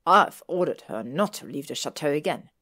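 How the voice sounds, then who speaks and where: A woman's voice: sits low, expressive, normal speed, bright, forceful tone, exaggerated voice. A woman playing an aloof, proud teenage boy wizard in a story, speaking in a curt, clipped way. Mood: cold, indifferent.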